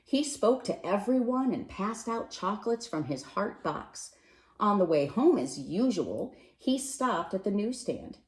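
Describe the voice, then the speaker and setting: Animated woman reading a storybook, roomy echo. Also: mid-range voice. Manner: animated, dramatic pauses and emphasis, addressing young listeners. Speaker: storybook reader. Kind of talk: expressive read-aloud of a children's picture book. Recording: roomy, echoey sound.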